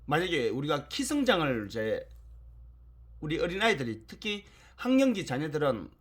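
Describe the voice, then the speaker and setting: A man's voice: on the high side, wildly swooping pitch, normal speed, husky. A man lecturing in an explanatory, emphatic way, repeating key points and asking rhetorical questions.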